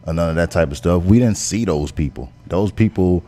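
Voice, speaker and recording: A man's voice: deep voice, fairly quick, mellow. A man co-hosting a casual chat, laid-back and slangy as he tells stories.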